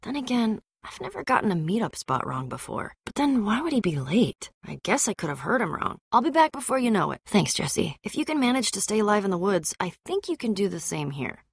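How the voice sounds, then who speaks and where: A woman voicing a tough, sarcastic, self-assured young adventurer and trader in a video game, with an American accent and a wry, brisk delivery. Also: mid-range voice, very animated, gravelly, husky, no room sound, heavily acted. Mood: uneasy, puzzled. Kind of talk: animated adventure game dialogue.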